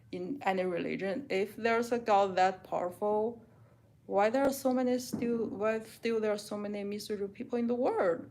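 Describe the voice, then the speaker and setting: A woman with a Chinese accent chats casually in a home vlog. She reads each viewer question aloud, then answers it frankly, leaning on "like" as she thinks. Her voice sits at an ordinary middle pitch. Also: a little mellow. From China. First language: Mandarin. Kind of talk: casual chat. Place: home kitchen, doing dishes.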